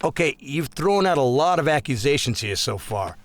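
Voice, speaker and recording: A man's voice: medium pitch, very animated, moderate pace. An actor playing a dismissive, combative middle-aged police officer and father. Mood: scornful. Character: gruff hungover middle-aged cop father.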